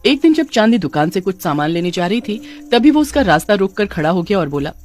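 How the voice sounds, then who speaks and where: A woman narrates a scripted cartoon story. Her pitch is in the middle range for a woman, and music plays behind her. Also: very animated, a little fast, darkish tone.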